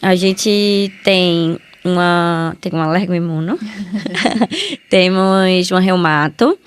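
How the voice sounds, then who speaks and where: A woman's voice: lowish voice, lively intonation, slow pace, clean tone. A Brazilian woman speaking as a doctor and podcast guest, conversational and informal, repeating words for emphasis.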